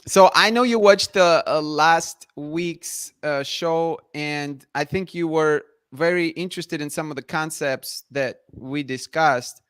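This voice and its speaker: A man's voice: on the high side, slow pace, hardly any pauses, fairly bright tone, clear, resonant tone, no room sound, clean studio sound. A man hosting a live online show, upbeat, greeting viewers by name and interviewing a guest over a video call.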